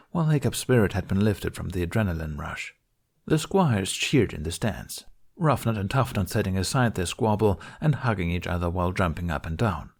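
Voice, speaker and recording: A man's voice: on the low side, gravelly, darkish tone. A man reading scripted fantasy fiction aloud as an audiobook narrator.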